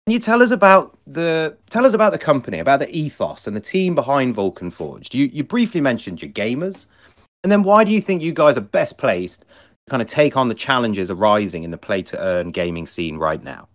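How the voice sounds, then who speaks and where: A man's voice: medium pitch, big pitch swings, brisk. A man hosting an online interview, asking long friendly questions. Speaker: interview host.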